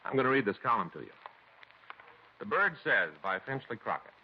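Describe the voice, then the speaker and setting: An American actor playing a chatty, stubborn police detective's partner in a 1950s radio drama, with a folksy, insistent way of talking. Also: male voice, mid-range voice, very animated, a little fast, slight background noise. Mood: earnest, stubborn. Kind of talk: 1950s radio crime drama acting, conversational. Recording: dull old radio recording.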